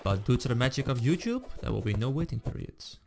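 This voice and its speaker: A man's voice: medium pitch, big pitch swings, fast talker, creaky, background noise. A man hosting a gaming video, chatting casually to viewers and his co-op partner as he plays. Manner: chatty, addresses viewers while playing. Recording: music playing behind the voice.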